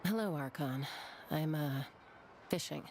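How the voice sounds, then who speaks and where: A gravelly, quiet grieving youth. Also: female voice, low voice, unhurried. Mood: subdued. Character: quiet grieving young adult. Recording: with noise behind.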